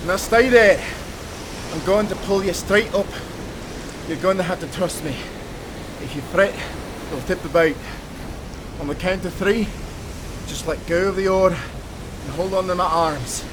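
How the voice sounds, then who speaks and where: An actor playing an earnest, protective sailor with old-fashioned nautical talk, in a cinematic audio roleplay. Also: male voice, sits high, measured pace, noisy background. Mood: urgent, calling out. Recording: loud ocean waves and surf. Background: sea waves, storm ambience.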